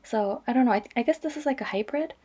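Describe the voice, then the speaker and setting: Woman vlogging her stitching, kinda stuffy raspy voice, warm and low-key, lots of ums. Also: fast. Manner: chatty, rambling, frequent ums. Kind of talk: casual voice-over vlog narration.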